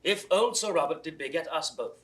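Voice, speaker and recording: An actor playing a blunt, irreverent young gentleman in bantering, theatrical British Shakespearean style. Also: fairly high pitch, lively intonation, brisk. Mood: mischievous. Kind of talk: 1960s classical Shakespeare audio drama acting.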